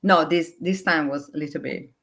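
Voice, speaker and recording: A woman in her fifties from Italy, a native Italian speaker chatting in accented, halting English as a giggly podcast guest. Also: low-pitched, fairly bright tone. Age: 50s. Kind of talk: casual chat.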